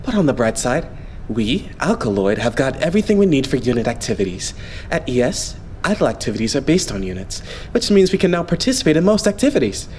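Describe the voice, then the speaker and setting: Man acting earnest young idol leader, lively intonation. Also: mid-range voice, a little hiss or hum, close to an everyday voice. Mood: hopeful, reassuring. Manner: polite, explanatory, measured phrasing. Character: earnest, devout young male idol, optimistic unit leader. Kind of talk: anime game fan dub acting.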